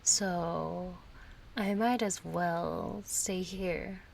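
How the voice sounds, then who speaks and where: A woman's voice: lowish voice, very slow, vocal fry, a little mellow, slight background noise, full character voice. A woman acting a kind, chatty young friend in an intimate audio roleplay, casual, playful and a little hesitant. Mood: soft, tender. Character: kind, chatty young female friend, gently yearning for closeness.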